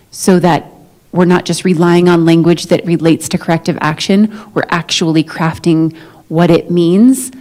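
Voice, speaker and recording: A woman speaking as a management negotiator in a formal but conversational meeting, explaining things in a measured, diplomatic way. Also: on the low side, a little mellow. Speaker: negotiator for a school district's management. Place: meeting room, live-streamed negotiation session.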